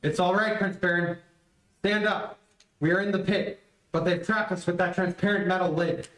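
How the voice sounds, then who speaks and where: A man's voice: high voice, steady tone, normal speed, fairly bright tone. A man playing a brave, steadfast space hero in an old-time radio serial, earnest and heroic. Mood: urgent. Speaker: amateur radio play actor. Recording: echoey, distant streamed stage audio.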